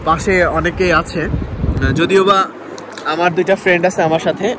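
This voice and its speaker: A young man from Bangladesh vlogs from the beach, holding his phone out and chatting in a loose, rambling way with plenty of fillers. His voice sits fairly high for a man. Waves and wind roar clearly behind him. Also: fairly even intonation, moderate pace. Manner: chatty, loose, with many fillers and English words mixed in. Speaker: travel vlogger. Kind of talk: casual travel vlog to camera. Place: outdoors in the sea and on a beach. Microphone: phone held at arm's length. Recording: windy beach noise and surf.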